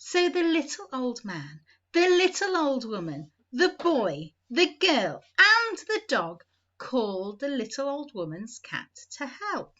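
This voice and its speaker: Animated, high-voiced woman reading a children's story. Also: highs cut off. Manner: deliberate, emphatic, repetitive build-up phrasing for young listeners.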